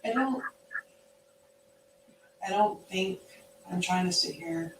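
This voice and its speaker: A woman's voice: lowish voice, slow pace. A woman in a casual chat, talking in a plain, matter-of-fact way. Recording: distant, echoey, loud background noise.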